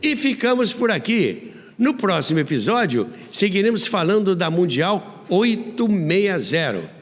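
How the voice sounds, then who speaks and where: A man's voice: high-pitched, sing-song, measured pace, long reverb tail, noisy background. A Brazilian man hosting and narrating a scripted documentary about radio. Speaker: host and narrator of a radio history series.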